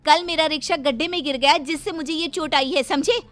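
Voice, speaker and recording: A woman voicing a brash, outspoken young daughter-in-law in an animated moral story, indignant and assertive. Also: sits high, brisk, voice pushed up. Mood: demanding.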